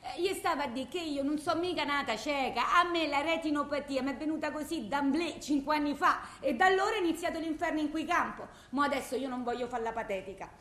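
An actress playing a bitter, distraught blind widow in a theatrical monologue, rambling plaintively in a colloquial Roman accent. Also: female voice, high-pitched, quick pace, hardly any pauses, a bit bright. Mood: hurried, plaintive. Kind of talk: theatrical phone-message monologue. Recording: echoey room.

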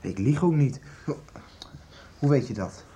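A man's voice: medium pitch, animated, measured pace, warm tone. A young male actor playing a headstrong, defiant teenage son in a radio soap, insistent and argumentative. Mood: defiant, frustrated. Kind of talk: 1990s Dutch radio soap acting.

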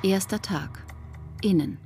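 An actress playing a detached announcer who reads out case records and scene titles in a clipped, factual way. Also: female voice, mid-range voice, takes it slowly, warm tone, faint music behind, lightly acted. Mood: matter-of-fact. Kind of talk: scripted radio drama scene announcements.

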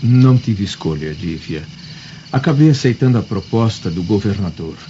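Resigned Roman nobleman, radio drama, serious, dark soft tone, dull recording. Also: fairly low pitch, expressive, normal speed. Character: grave, resigned Roman husband and senator. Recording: dull lo-fi audio, faint background noise.

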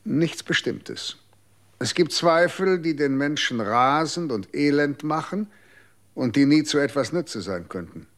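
An actor playing a calm, dismissive official who lectures on the law in a radio drama. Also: male voice, fairly high pitch, unhurried. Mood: cold. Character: cold, condescending official defending the law.

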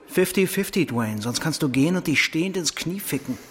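Bossy, foul-mouthed burglar, brusque, higher-pitched male voice, radio crime drama. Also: expressive, brisk, a bit bright, slightly rough. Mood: brusque, impatient. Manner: brusque, domineering.

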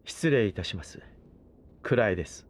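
A loyal manservant, speaks in a lowish voice with strongly varied intonation. He is formal and coldly courteous, distrust edging his politeness, in a voice drama over faint music. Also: lively intonation, moderate pace. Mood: formal, reserved. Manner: formal, clipped servant politeness with barbed edge. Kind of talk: Japanese voice drama acting.

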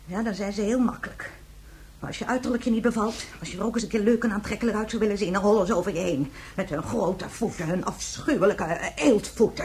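A woman's voice: mid-range voice, a little mellow, close to an everyday voice. A woman playing a flustered, scatterbrained schoolteacher with a short temper, scolding and rambling emphatically in a Dutch radio drama.

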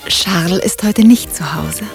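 An actress playing a bored, romantic, genteel provincial wife in a radio drama. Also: female voice, on the low side, a little slow, creaky, soft background music. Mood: inviting.

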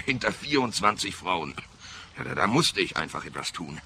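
An actor in a radio play playing a self-important, attention-hungry politician with a gravelly bass voice and a stammering, chuckling manner. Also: male voice, very animated, a little slow, bright, forceful tone, slight background noise, heavily acted. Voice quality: very deep, gravelly. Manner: chuckling, stammering, theatrical sighing.

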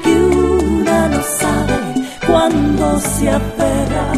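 A woman's voice: high voice, fairly even intonation, drawn-out words, over loud music. A woman singing the theme song of a radio drama with musical accompaniment. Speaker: singer.

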